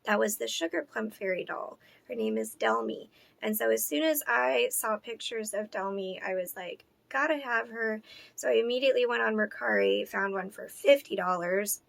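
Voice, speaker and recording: A woman in her thirties who sounds hoarse, chatting casually with lots of ums and likes while unboxing a doll for her channel. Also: a little high, lively intonation, moderate pace, a bit bright, no echo. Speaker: doll collector vlogger.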